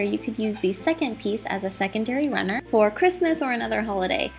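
Chatty young mom doing a diy tutorial, kinda scratchy throat, high-ish voice, faint music under it. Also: female voice, echoey room. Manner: upbeat, chatty, encouraging. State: slightly scratchy from a cold. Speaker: mom vlogger. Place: living room at home.

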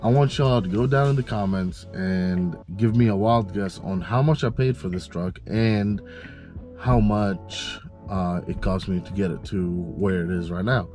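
A man's voice: sits low, a little slow, faint music behind. A young man from Mississippi, congested and nasal, chatting casually to camera from a parked car as host of his channel. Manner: relaxed, chatty, many fillers. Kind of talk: casual vlog talk to camera. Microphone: camera on dashboard.

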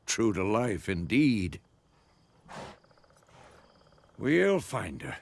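An actor playing a gruff, wry, fatherly older warrior mentor in a video game, with a gravelly voice. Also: fairly low pitch, wildly swooping pitch, slow pace, heavily acted. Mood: calm, wry. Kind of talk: video game dialogue acting.

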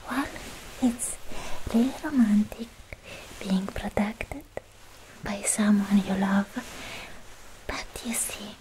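A young woman whispering an ASMR roleplay as a sweet, protective, lovestruck demon slayer, softly and haltingly, close to the mic. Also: mid-range voice, natural voice, poor audio. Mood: protective, tender. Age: young adult. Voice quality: whispery, breathy soft voice. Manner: slow, halting, affectionate murmuring. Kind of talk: ASMR personal attention roleplay. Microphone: close microphone, whispering near it. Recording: faint hiss, mouth clicks, close-up.

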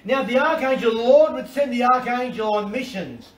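A middle-aged man with an Australian accent, preaching an animated sermon from a covered outdoor deck, with repetitions and restarts. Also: very high voice, moderate pace. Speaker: pastor. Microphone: far camera. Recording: roomy and distant with faint ambience.

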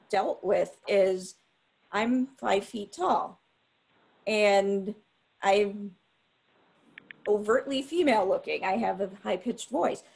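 A woman scientist speaking frankly on a webinar panel, deliberate and restarting often. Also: mid-range voice, gravelly, darkish tone, breathy edge. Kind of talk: panel discussion answer. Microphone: webinar video call.

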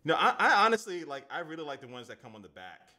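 A man's voice: a little high, sing-song, fast, bright, forceful tone. A man in his thirties hosting a casual live-stream phone unboxing, chatting loosely with viewers and reading their comments aloud. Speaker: tech reviewer. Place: indoor home studio at a desk.

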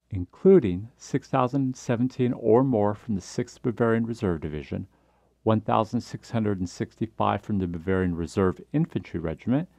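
A man's voice: fairly low pitch, gravelly, darkish tone, no echo. A man reading a scripted history documentary narration as the narrator.

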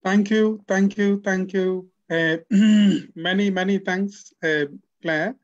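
A man's voice: high-pitched, fairly even intonation. Man, 40s, host, effusive praise, lots of fillers, muffled call audio. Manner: effusive, halting with many fillers. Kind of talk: interview hosting. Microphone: video call.

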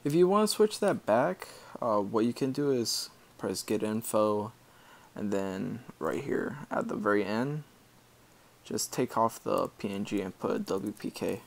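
A man giving a casual screen-recorded software tutorial, explaining step by step in an informal, halting way. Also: lowish voice, animated, creaky. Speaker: tutorial maker.

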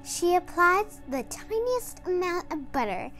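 A woman reads a children's storybook aloud in a high, animated voice. She narrates slowly and deliberately, with soft music playing along. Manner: expressive, deliberate reading for beginning readers. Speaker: storybook reader.

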